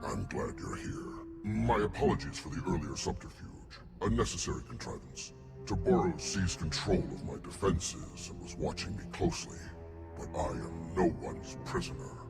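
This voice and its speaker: Casting: huge conceited slug-alien genius in a game, bottomless gravelly bass, pompous and condescending. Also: male voice, very animated, normal speed, exaggerated voice. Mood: haughty. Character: haughty male Hutt scientist, huge slug-like alien genius. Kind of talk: video game cutscene acting. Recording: music playing behind.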